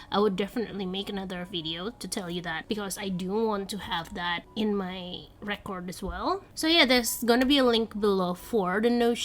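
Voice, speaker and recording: A woman's voice: medium pitch, fairly bright tone. A young woman with a congested voice, chatting casually off camera as she walks viewers through her planning template. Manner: chatty, rambling, giggly. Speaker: vlogger. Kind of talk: casual voice-over walkthrough. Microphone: voice-over, off camera.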